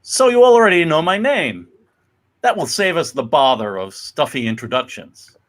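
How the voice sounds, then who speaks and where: A man's voice: on the high side, big pitch swings, normal speed, fairly bright tone, voice pushed up. A man playing a kindly, self-made older immigrant father in a dramatic comic-book reading. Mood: genial.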